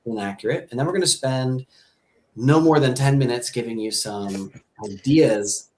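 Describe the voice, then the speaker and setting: A man's voice: mid-range voice, normal speed, darkish tone. Upbeat chatty American-born man host. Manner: upbeat, chatty, repeats words. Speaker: host, ESL teacher and music producer. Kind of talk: live webinar hosting.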